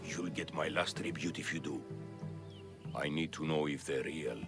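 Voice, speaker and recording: An actor playing a poor, despondent, god-fearing man in a video game, speaking haltingly and plaintively. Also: extremely low pitch, steady tone, over music. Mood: weary, fearful. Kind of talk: video game cutscene acting.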